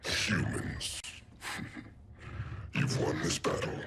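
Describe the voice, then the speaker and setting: A man's voice: very slow, very noisy. A man playing a huge gorilla villain in a video game, scornful and menacing, full of contempt for humans. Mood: gloating contempt. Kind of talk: video game cutscene acting.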